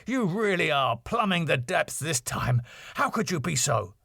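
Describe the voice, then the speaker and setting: A man's voice: fairly high pitch, very animated, measured pace, vocal fry, sharp tone, breathy edge, dry recording, clean studio sound, pitched above the performer's own voice, exaggerated voice. A man voicing a tetchy, indignant theatrical man with a withering manner in a sitcom.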